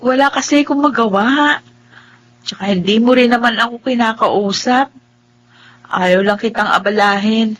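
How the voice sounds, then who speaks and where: An actress playing a gentle, devoted blind young wife in a radio drama, speaking tenderly and pleadingly. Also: female voice, fairly high pitch, moderate pace, close to an everyday voice. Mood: eager, tender. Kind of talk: radio drama acting with first-person narration.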